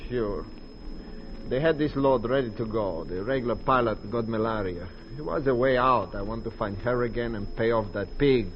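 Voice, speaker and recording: A man acts out a lovesick, hot-blooded Latin American smuggler in an old radio play. His English is broken and boastful, with an ordinary male pitch. The recording is muffled and noisy. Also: moderate pace, natural voice. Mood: beaten, confessing. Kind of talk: 1940s radio acting.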